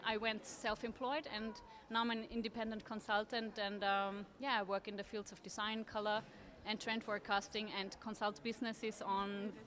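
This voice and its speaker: A woman from Austria, answering interview questions in a thoughtful, measured way at a table at a design fair. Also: mid-range voice, a bit bright. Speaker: interview guest, trend forecaster. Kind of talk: conversational interview.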